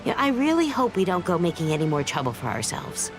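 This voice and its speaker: A woman's voice: fairly low pitch, very animated, fast. A woman voicing a friendly, gossipy fruit and vegetable seller in a video game. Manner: chatty and gossipy. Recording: game audio with music.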